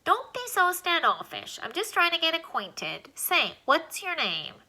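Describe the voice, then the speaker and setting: A woman voicing a tiny, chatty male alien with a high, squeaky voice and a wisecracking manner. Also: wildly swooping pitch, pushed and bright, full character voice. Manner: fast-talking, wisecracking.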